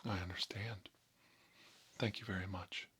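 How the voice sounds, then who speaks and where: Male ASMR host, deep voice, deliberate deadpan mock-doctor instruction, audible background hiss. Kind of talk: mock-tutorial ASMR roleplay.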